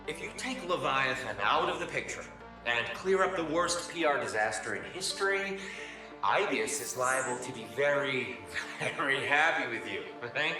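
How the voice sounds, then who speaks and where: A man plays an ingratiating game scientist with lively, swinging intonation. He is glib and chummy, heard at a distance in an echoing space with music behind. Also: mid-range voice, moderate pace, natural voice. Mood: sly, ingratiating. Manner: glib, hesitant hinting, over-friendly. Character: slick, wheedling male scientist with money on his mind. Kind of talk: video game cutscene acting.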